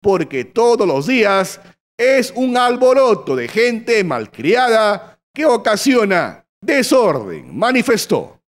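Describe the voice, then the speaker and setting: A man with a Peruvian Spanish accent reading a scripted local news report in a brisk, formal way. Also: high-pitched, sing-song.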